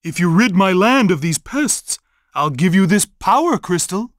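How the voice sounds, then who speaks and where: A man's voice: high-pitched, expressive, a little slow, no room sound, clean studio sound. A man voicing a worried, pleading cartoon bird farmer in a video game.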